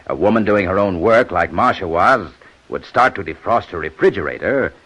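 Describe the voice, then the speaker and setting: Shrewd, commanding old-time radio police inspector. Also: male voice, sits low, animated, a bit bright, low-quality recording. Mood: shrewd, musing. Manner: deliberate, probing, pointed questioning. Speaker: radio drama actor playing the lead detective. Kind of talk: 1950s radio detective acting. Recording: old 1950s radio recording.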